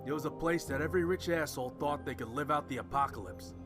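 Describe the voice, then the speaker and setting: A man playing a bitter, desperate young man on the run in an audio drama, talking roughly and swearing. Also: a little high, fast, close to an everyday voice. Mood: urgent, hopeful. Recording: music behind the voice.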